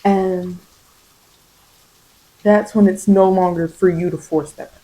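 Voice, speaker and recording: A woman with a lowish, very soft-edged mellow voice gives a tarot reading in an informal ASMR style. She coaches the listener directly, repeating phrases for emphasis. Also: steady tone, measured pace, low-quality recording. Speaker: tarot reader.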